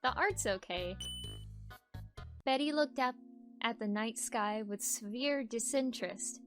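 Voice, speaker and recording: Woman, fairly high bright voice, casual amused read-aloud with side comments, faint music behind. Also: a little slow. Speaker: voice actor, co-reader. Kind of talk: casual, joking read-aloud of fan fiction.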